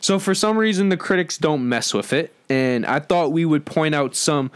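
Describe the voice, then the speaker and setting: Higher-voiced young man, casual. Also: normal speed, clear, resonant tone, no room sound. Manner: informal, slangy. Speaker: podcast host. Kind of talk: casual film discussion among friends.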